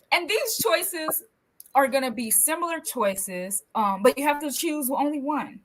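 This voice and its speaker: Higher-voiced woman hosting a chat. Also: lively intonation, pushed and bright, slight background noise. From American South. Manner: friendly, affirming, playful when running a quick game. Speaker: podcast host. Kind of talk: casual interview chat.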